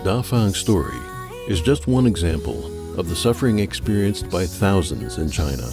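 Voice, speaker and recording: A man reading scripted documentary narration in a measured, solemn way. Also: sits low, big pitch swings, gravelly. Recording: narration over background music.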